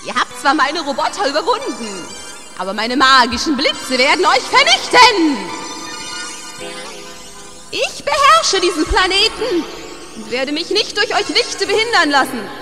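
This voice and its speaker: A woman acting a wrathful, gloating evil sorceress queen in a children's radio play, pompous and menacing, with drawn-out delivery. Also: extremely high pitch, big pitch swings, exaggerated voice. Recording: long hall reverb under loud music.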